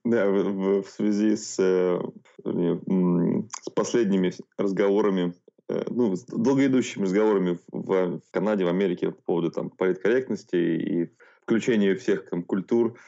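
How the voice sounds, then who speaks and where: A man with a low, dark, mellow voice chats as a podcast co-host. He is hesitant, often stopping to find the word. The recording is slightly dull and muffled. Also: creaky. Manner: halting, hesitant, searching for words. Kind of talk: casual conversation.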